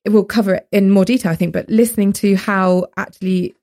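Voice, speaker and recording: A woman's voice: medium pitch, steady tone, brisk, darkish tone. A woman, co-hosting a podcast and chatting casually about a book, laughing often. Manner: chatty, laughs often, quotes her own thoughts aloud. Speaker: podcast co-host. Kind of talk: casual book discussion chat.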